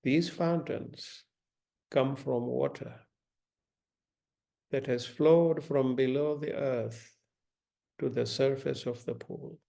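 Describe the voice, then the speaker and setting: A man leading a guided meditation in a gentle, deliberate manner, giving instructions with long pauses. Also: medium pitch, steady tone, vocal fry.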